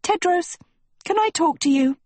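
A woman's voice: extremely high pitch, expressive, normal speed, warm tone, no room sound, pitched above the performer's own voice. A woman voicing a vain, dramatic teenage girl in a storybook, complaining theatrically and full of huffy self-pity. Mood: huffy, indignant.

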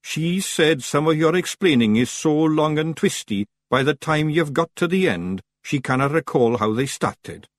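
A man's voice: a little high, animated, moderate pace, few pauses, dry recording, clean studio sound, voice pushed up, lightly acted. A man voicing a dim, earnest, hapless little Feegle with a Scottish accent in an audiobook, blurting naively. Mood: admiring.

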